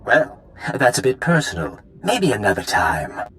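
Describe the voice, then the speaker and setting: Smug, swooping-voiced villain. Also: male voice, medium pitch, sing-song, unhurried, bright, forceful tone, slight background noise. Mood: mocking menace. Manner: theatrical, urbane, condescending. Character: smug, mocking male corporate villain. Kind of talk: video game villain dialogue.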